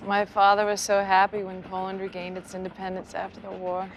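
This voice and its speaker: An actress playing an earnest, inquisitive young Polish army nurse in a period film drama. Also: female voice, mid-range voice, completely flat delivery, fairly quick, slight background noise, lightly acted, poor audio.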